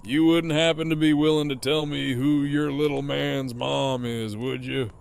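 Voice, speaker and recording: An actor playing a mocking, foul-mouthed middle-aged antagonist with a sardonic, needling drawl in an audio drama. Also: male voice, mid-range voice, fairly even intonation, a little slow, vocal fry, a little hiss or hum. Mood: taunting, amused.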